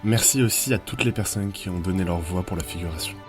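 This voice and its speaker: A man reading the end credits of an audio drama in a relaxed, friendly way. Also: deep voice, quick pace, soft background music. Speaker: credits reader. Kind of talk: scripted credits narration.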